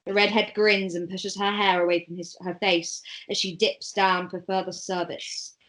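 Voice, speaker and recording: A woman's voice: mid-range voice, little pitch movement, normal speed, fairly bright tone, rough recording. A woman narrating a table read, reading stage directions aloud and at times stumbling over words.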